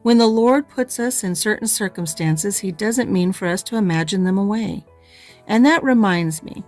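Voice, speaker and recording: A woman voices a stern middle-aged spinster who answers curtly and with open disapproval. She speaks at a mid pitch, close to the reader's own voice, in a dry, matter-of-fact way. Faint music sits under the reading. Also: normal speed, a little mellow, natural voice. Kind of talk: audiobook character reading.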